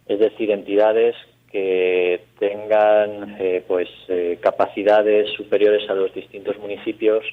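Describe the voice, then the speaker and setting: Man from Spain, low voice, flat delivery, telephone sound, halting explanations. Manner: hesitant, many fillers, explanatory. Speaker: urban mobility expert guest. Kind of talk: radio interview by phone. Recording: tinny phone line.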